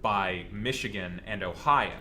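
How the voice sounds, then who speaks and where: A man chatting casually and telling a rambling anecdote in a halting way, often cutting himself off. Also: fairly low pitch, pushed and bright, soft background music. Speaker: panelist on a fan Q&A show.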